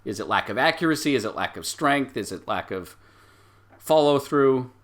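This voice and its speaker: A man running a tabletop game as game master, improvising the narration and thinking aloud in a halting way. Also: mid-range voice, expressive, fairly bright tone.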